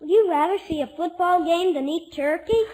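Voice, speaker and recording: Very high-voiced little boy. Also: moderate pace, darkish tone. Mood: curious, earnest. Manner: blunt, innocent questioning. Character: earnest, inquisitive, polite little boy. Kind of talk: 1950s radio comedy acting.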